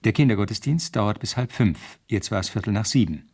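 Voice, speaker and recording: An actor playing an earnest young police constable, a newcomer who also narrates the German radio play. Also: male voice, fairly low pitch, animated, a little fast, a little mellow, breathy edge. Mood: reflective narration.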